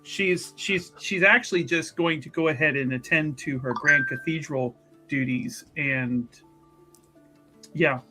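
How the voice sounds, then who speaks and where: A man playing a tabletop roleplaying game, improvising his character's actions in a halting, wordy way. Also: fairly high pitch, loud music behind the voice.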